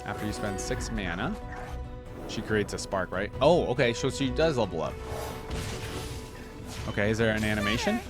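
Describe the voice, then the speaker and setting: A man with a fairly low voice gives off-the-cuff gaming commentary. He talks informally and thinks out loud, using plenty of slang. Music plays clearly behind him. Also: expressive, moderate pace, long pauses. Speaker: reaction video host. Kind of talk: casual gaming reaction commentary. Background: game trailer and theme music.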